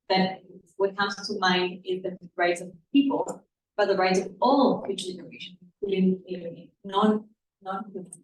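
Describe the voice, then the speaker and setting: A woman from Ecuador with an Ecuadorian Spanish accent gives an earnest presentation to an audience as a panel speaker, often repeating words as she goes. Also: medium pitch. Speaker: activist, panel speaker at a conference. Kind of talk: public presentation to a live audience. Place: indoor event room. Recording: echoey room, distant mic, background noise.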